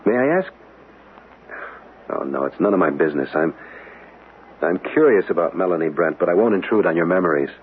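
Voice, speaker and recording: An actor playing a polite, sentimental, curious bachelor in a radio mystery drama, speaking hesitantly and gently. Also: male voice, low voice, lively intonation, fairly quick, long pauses. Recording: noisy, tinny old radio broadcast recording.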